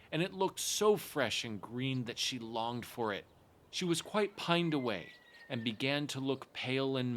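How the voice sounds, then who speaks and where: A man narrating a fairy tale aloud as a storyteller, expressive and measured in his delivery. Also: mid-range voice, a little hiss or hum.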